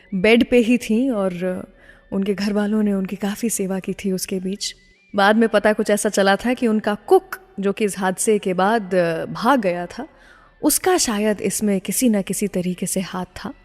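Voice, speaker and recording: A woman's voice: mid-range voice, a little mellow, big-room sound. A woman hosting a radio show, telling stories in a conversational way and putting teasing questions straight to her listeners. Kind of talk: storytelling radio presenting.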